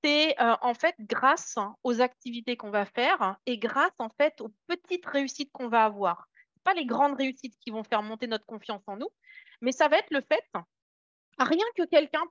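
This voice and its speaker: A woman's voice: sits high, fairly bright tone, no room sound, muffled recording. A woman leading a casual motivational training session for her sales team, encouraging and hesitant, with many fillers and restarts. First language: French. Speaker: network marketing team trainer.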